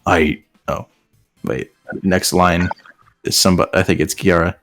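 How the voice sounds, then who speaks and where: A man's voice: sits low, fairly even intonation, unhurried, a bit bright, dry recording. A man chatting casually and comedically as a show host, with sarcastic, sweary remarks. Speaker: show host reading and mocking fan fiction. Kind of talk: casual comedic group chat. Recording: music behind the voice. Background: background music.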